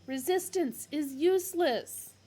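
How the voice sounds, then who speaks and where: A hulking, dim-witted alien guard who bellows and ponders slowly, as a woman reader puts him on. His voice is loud, gravelly and drawn out, set above her usual pitch. The tone is dark and mellow. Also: sing-song, heavily acted. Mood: booming. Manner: booming, slow-witted.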